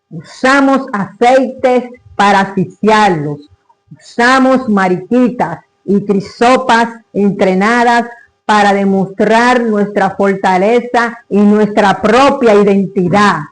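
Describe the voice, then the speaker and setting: A man reciting a literary monologue in a solemn, declamatory, deliberate manner. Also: high-pitched, poor audio.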